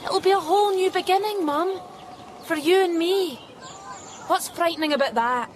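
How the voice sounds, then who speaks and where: A woman playing an eerie alien replica of a child in a radio drama, glib, taunting and unnervingly calm. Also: very high-pitched, moderate pace, slight background noise. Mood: persuasive, calm.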